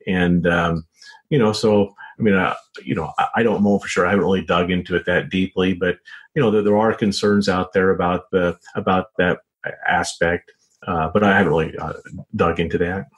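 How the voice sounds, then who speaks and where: Deep-voiced American man, halting speech. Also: fairly even intonation. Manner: halting, repeats words and says "you know" constantly. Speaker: interview guest, author and journalist. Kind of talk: conversational interview answers.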